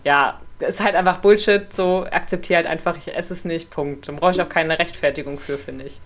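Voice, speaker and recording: A woman chatting casually as a podcast co-host, retelling things in an animated way with little acted quotes. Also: lowish voice, a little fast.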